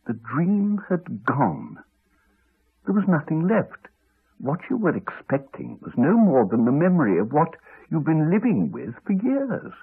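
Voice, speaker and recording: Earnest doctor, husky, muffled radio. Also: male voice, a little high, wildly swooping pitch, measured pace, heavily acted. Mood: insistent, reassuring. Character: earnest male doctor, reassuring, wry, later weary and dying. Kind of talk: 1980s radio drama acting. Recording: muffled, narrow-band 1980s radio broadcast.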